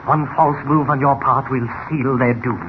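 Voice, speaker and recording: An actor playing a menacing, coolly taunting masked criminal mastermind in a theatrical, formal 1940s radio serial style. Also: male voice, medium pitch, fairly even intonation, normal speed, lightly acted. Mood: smug menace. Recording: muffled, noisy old radio recording.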